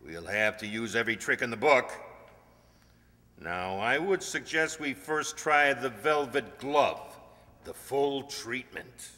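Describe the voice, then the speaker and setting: A man acting a forceful, formal government official. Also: medium pitch, expressive, slow. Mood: scheming, measured. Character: calculating, diplomatic male government security official. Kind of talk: radio play acting. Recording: reverberant hall.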